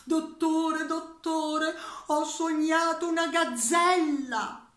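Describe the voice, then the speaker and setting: A woman voicing an anxious patient who keeps pleading with a doctor in a high, insistent voice. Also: fairly even intonation, very slow, a bit bright, heavily acted. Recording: echoey room.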